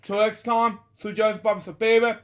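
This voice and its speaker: Male host, 20s, voice a bit high, halting casual read-aloud with rambling asides. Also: little pitch movement. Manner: halting, stumbles over words while reading; rambling asides with repeated catchphrases. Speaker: host of a story reading series.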